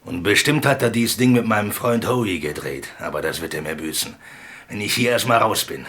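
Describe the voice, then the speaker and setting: A rough, resentful convict with a low voice, from a German radio crime play. He talks gruffly in slang and gives things up grudgingly. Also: lively intonation, brisk, fairly bright tone. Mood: bitter, resentful. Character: resentful, rough male jailed train robber.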